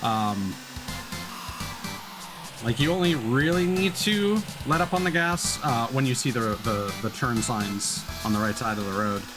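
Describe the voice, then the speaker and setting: A man's voice: medium pitch, expressive, unhurried. A man doing casual live commentary as he streams a video game. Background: loud video game music.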